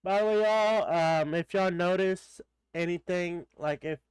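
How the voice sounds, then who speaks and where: A man's voice: high voice, unhurried, clear, resonant tone, no echo, rough recording. A man with a stuffed-up nose, streaming a game live and chatting casually, greeting viewers by name and rambling with many fillers. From Texas.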